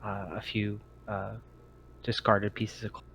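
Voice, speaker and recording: A man with a fairly low voice and a lot of vocal fry acts as game master for a tabletop game. He describes scenes off the cuff, stopping often to find the next words. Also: flat delivery, unhurried, a bit bright, a little hiss or hum, rough recording. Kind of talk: tabletop game narration, improvised.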